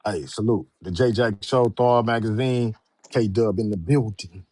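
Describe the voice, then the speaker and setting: A man's voice: lowish voice, measured pace, dark tone, no echo. A man hosting a show, chatting casually in an informal, slangy way. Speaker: show host. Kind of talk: casual live interview chat.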